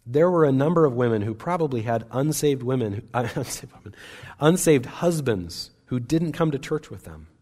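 A man's voice: medium pitch, animated, normal speed, a little mellow. A man preaching a sermon in a church service, speaking in an earnest, conversational way with small restarts.

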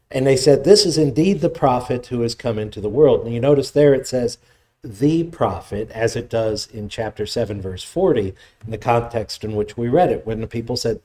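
A man preaching, reading scripture aloud, praying, then explaining the passage in a measured, teaching way. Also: mellow. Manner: measured expository preaching, voice drops low in prayer. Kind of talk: sermon with scripture reading and prayer.

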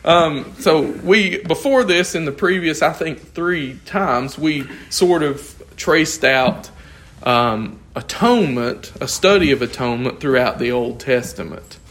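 A man's voice: fairly high pitch, a little slow, few pauses, a bit bright. A man, losing his voice, teaching an informal Bible class in a conversational, thinking-aloud way and asking the class questions.

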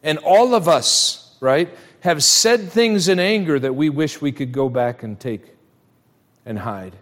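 A man's voice: on the high side, animated, unhurried. A man preaching a sermon in a conversational way, often putting rhetorical questions to his listeners. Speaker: preacher at a church. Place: large reverberant church room, live service. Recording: reverberant hall, live church sermon.

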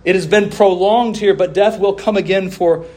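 Guy preaching a sermon, slightly high voice, big emphatic pauses, stretches out phrases and repeats them. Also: fairly even intonation, darkish tone. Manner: emphatic oratory with deliberate pauses and drawn-out phrases; rhetorical questions and repeated refrains.

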